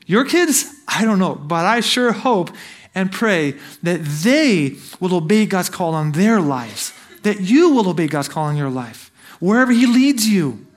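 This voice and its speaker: A man's voice: high-pitched, big pitch swings, measured pace. A man in his thirties, a missionary raised in Brazil, preaching a sermon in an earnest, exhorting way and putting questions to the listeners.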